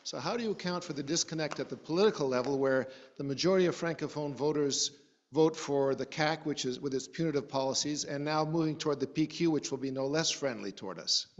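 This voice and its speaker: A man's voice: on the high side, fast talker. A man in the audience asking a direct, pointed question. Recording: distant, reverberant, lo-fi room.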